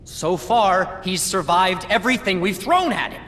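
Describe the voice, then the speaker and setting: Menacing game boss villain, big reverb, pitch swings a lot, scornful. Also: male voice, expressive, unhurried, a bit bright. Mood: commanding. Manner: commanding, scornful. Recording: long reverb, faint rumbling noise.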